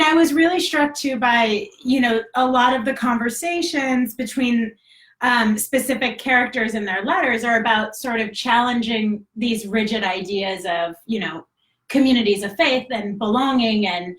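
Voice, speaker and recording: Upbeat woman interviewer, slightly high voice. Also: moderate pace. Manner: upbeat, with filler phrases like sort of and you know. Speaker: interviewer, magazine editor. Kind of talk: live interview. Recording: roomy echo.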